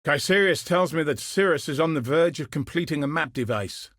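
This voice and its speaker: A man voicing a grim, dutiful soldier commander in a video game, speaking with husky, solemn, earnest resolve. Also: on the high side, animated, a little fast, fairly bright tone, dry recording, studio quality. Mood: urgent, resolute. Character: grim, dutiful male soldier commander hunting cosmic threats. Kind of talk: scripted video game character dialogue.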